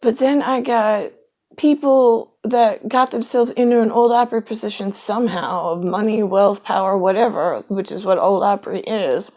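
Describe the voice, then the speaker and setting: A woman's voice: mid-range voice, moderate pace, darkish tone. A woman in a rambling monologue, quoting her own conversations with sudden bursts.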